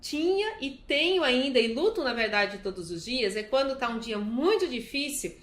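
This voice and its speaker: A woman with a Brazilian accent gives an informal, explanatory talk. Her voice is a little high and her delivery is conversational, built on personal examples. The sound is roomy, with clear echo. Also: very animated, moderate pace, fairly bright tone. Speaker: recovery talk speaker.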